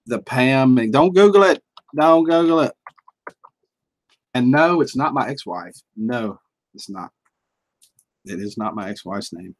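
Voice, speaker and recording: A man's voice: mid-range voice, expressive, a little slow, pauses often. A middle-aged man with a Southern US accent, chatting casually and folksily while he hosts a livestream and reads out the chat. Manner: folksy and chuckling, drawls out words. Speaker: livestream host reading chat.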